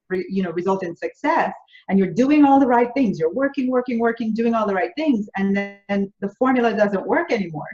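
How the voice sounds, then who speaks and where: A woman's voice: mid-range voice, darkish tone. A woman with a Jamaican accent speaks earnestly as an interview guest, stacking phrase upon phrase. Her voice carries the echo of a roomy space. The audio sounds slightly dull and lo-fi. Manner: earnest, builds through repeated phrases. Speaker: business coach, interview guest. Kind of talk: conversational interview answers.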